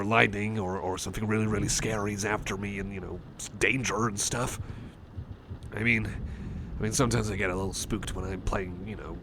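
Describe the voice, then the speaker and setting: A man's voice: fairly low pitch, background noise. A man voicing a shy, anxious, affectionate young male cyborg friend, stammering and apologetic in an intimate ASMR roleplay. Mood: nervous, shaky. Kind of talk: ASMR roleplay, intimate one-sided chat.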